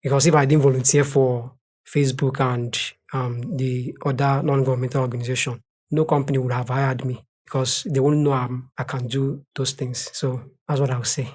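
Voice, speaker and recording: A man's voice: mid-range voice, level tone, normal speed, a little mellow. A young Nigerian man, a native Igbo speaker with a Nigerian accent, answering interview questions earnestly and often repeating words as he thinks. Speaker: guest translator.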